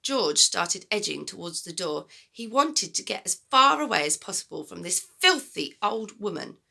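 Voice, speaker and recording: A woman's voice: mid-range voice, big pitch swings, moderate pace, creaky, sharp tone. A woman reading a children's storybook aloud expressively, as a teacher would to pupils.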